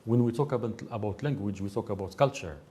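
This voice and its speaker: A man's voice: lowish voice, fast, warm tone. A Moroccan man with a Moroccan accent, speaking earnestly as a guest in a studio interview, often restarting his sentences.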